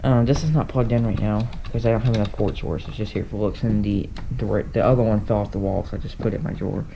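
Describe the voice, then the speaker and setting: A man's voice: lowish voice, steady tone, fast, creaky, dark tone. A man giving a casual, unscripted show-and-tell as a hobbyist, rambling offhandedly and often correcting himself. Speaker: hobbyist showing a radio collection. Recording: noisy handheld camera audio with clicks.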